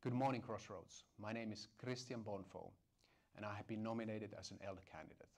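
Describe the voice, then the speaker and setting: A middle-aged man giving a formal, measured scripted self-introduction to camera on a lapel mic. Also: fairly low pitch, fairly even intonation. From Finland or Estonia. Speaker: church elder candidate. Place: indoor studio set with dark backdrop.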